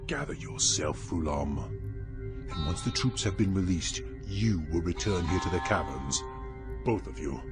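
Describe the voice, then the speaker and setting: Guy doing evil fantasy boss, low and commanding, cruel, radio drama w/ big loud music. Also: expressive, measured pace. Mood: stern, commanding. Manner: menacing, imperious. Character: cruel, commanding villain leader berating minions.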